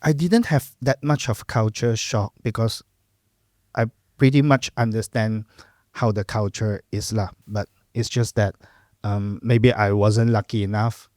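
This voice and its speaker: A middle-aged Malaysian man with a Cantonese mother tongue talks through his memories in a relaxed, reflective way. His voice sits fairly low. The sound is dry and close, with no room echo. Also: a little slow. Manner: relaxed, reflective, lots of fillers and "lah" particles. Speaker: guest. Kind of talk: casual interview chat.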